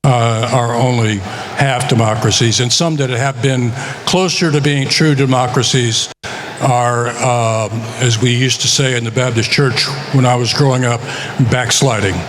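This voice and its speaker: An author from the United States giving a measured conference talk, pausing often, while he is losing his voice. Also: mid-range voice, steady tone, background noise. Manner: measured, with many pauses and filler words. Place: conference session.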